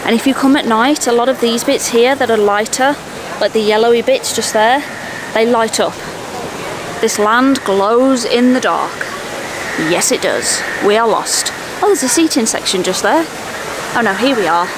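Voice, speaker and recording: A woman's voice: a little high, moderate pace. A woman vlogging in a casual, enthusiastic way as she talks to her viewers while walking through a theme park. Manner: chatty and enthusiastic, talking to viewers. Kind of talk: casual travel vlog chat. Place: outdoors in a busy theme park. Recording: loud outdoor park noise.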